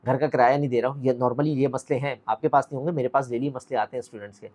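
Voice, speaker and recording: A man's voice: medium pitch, steady tone, fast talker, darkish tone. A middle-aged man, the founder of an academy, giving a direct, motivational talk to camera and putting questions to the viewer. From Pakistan.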